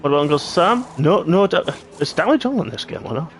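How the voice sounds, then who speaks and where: A man giving live play-by-play commentary on a sim racing league, hoarse and clearing his throat after losing his voice. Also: fairly high pitch, fast talker, noisy background. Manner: excitable play-by-play, rising sharply at incidents. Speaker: race commentator.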